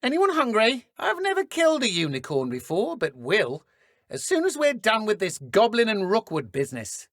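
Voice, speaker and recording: Sneering British dark witch, wildly swooping delivery. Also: medium pitch, dry recording, clean studio sound. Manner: taunting, theatrical, condescending. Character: cruel, sneering dark witch poacher, smug and mocking. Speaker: video game voice actor. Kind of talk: villain combat and idle barks for a fantasy game.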